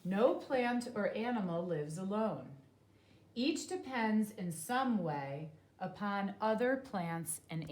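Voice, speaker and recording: A woman reading a children's nonfiction book aloud like a teacher, in a deliberate, clearly phrased manner. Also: mid-range voice, a little mellow.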